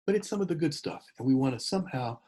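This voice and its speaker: A middle-aged man giving an unscripted talk on church ministry, halting with many fillers and restarts. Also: fairly high pitch, animated, warm tone. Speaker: church consultant and author.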